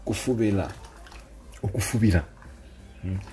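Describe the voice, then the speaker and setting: A man's voice: on the low side, normal speed, dark tone, soft background music. Chatty Zambian man teaching home cooking. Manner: chatty and encouraging, many fillers and questioning "mm?" tags. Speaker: cooking channel host. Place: small home kitchen, indoors.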